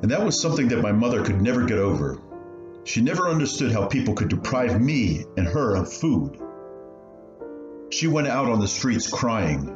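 A man playing an elderly refugee recounting his family's story in a radio play, deliberate and earnest. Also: lowish voice, sing-song. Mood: reflective. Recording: roomy, dull sound, piano underneath.

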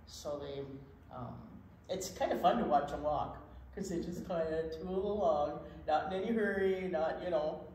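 A woman's voice: low-pitched, normal speed, darkish tone. A woman in her sixties co-hosting a children's storytime as a teacher, chatting casually. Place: indoor storytime set at a library. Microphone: distant camera microphone. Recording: very echoey, distant mic, background noise.

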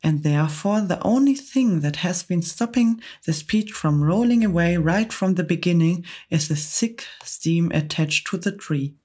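A woman voicing a kind, gentle female ladybird in a storybook reading, with a soft, motherly manner. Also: on the low side, animated, a little slow, few pauses, slightly rough. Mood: reassuring.

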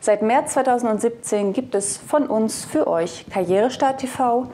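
A woman's voice: fairly high pitch, moderate pace, dark tone, faint music behind. A woman in her thirties presenting a scripted video review, addressing viewers casually into a lapel mic.

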